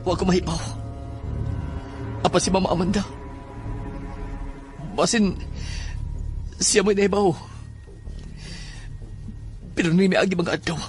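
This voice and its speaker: A man's voice: high-pitched, fairly even intonation, measured pace, pauses often, faint music behind. A man acting as an anxious, secretive young son in a horror audio drama, with a Filipino accent and a grave delivery. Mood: troubled, guarded.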